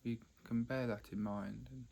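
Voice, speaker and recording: A man teaching a guided meditation, speaking haltingly in short phrases with long pauses between them. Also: mid-range voice, steady tone, gravelly, warm tone. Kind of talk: guided meditation, unscripted explanation.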